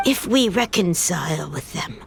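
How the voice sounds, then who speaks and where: A woman's voice: fairly low pitch, animated, normal speed, creaky, slight background noise. A woman playing a grave, wary petitioner hardened by war in a video game, earnest and formal. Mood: troubled, insistent. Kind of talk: anime video game acting.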